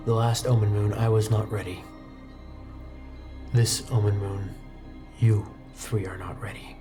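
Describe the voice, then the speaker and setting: A man's voice: on the low side, fairly even intonation, unhurried, recorded from a distance, natural voice. A man voicing a stern, grieving sword master in a tabletop game, restrained and grave, with music behind him. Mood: grim.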